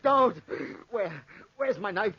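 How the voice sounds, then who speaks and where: A man's voice: high voice, expressive, slow pace, heavily acted. A man acting an impassioned, lovesick soldier losing his mind in the desert, in a melodramatic 1940s radio drama style. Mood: frantic, desperate. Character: lovesick, unhinged soldier stranded in the desert with a panther. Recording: muffled, noisy 1940s radio recording.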